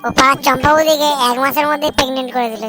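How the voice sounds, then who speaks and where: A performer voicing an excitable young tattling sidekick in a comedy cartoon, eager and gossipy. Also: high voice, rapid-fire, loud music behind the voice, lightly acted. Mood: gleeful tattling.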